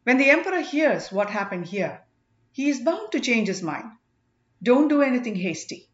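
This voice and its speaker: A woman voicing a stern male elder who addresses a crowd in emphatic, deliberate phrases for an audiobook. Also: on the high side, sing-song, echoey room, lo-fi sound. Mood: firm, advising.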